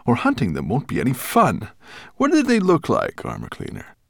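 A man's voice: medium pitch, wildly swooping pitch, moderate pace, creaky, dry recording, studio quality, pitched above the performer's own voice, full character voice. A man voicing a huge, vain and impatient golden dragon, with a growling, grunting voice and a scornful, bullying manner. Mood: eager, demanding. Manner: imperious, scornful.

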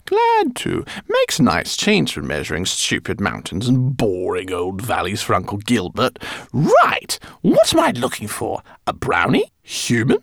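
A man reading an audiobook, voicing a brash, fast-talking female rat pilot in a shrill, squeaky voice. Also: huge pitch swings, gravelly, a bit bright, no room sound, clean studio sound, heavily acted. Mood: chirpy. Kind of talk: audiobook character voice.

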